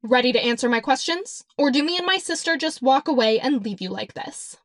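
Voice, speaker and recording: A woman's voice: high voice, lively intonation, a little fast, dry recording, clean studio sound. A woman voicing a cocky young superheroine in an audiobook, brash and taunting as she interrogates. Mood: taunting, threatening. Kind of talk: audiobook character acting.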